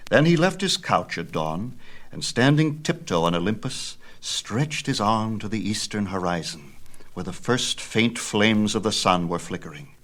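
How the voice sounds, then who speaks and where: A man reading a myth as a dramatic storyteller, with theatrical, expressive delivery. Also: on the low side. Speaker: audiobook reader.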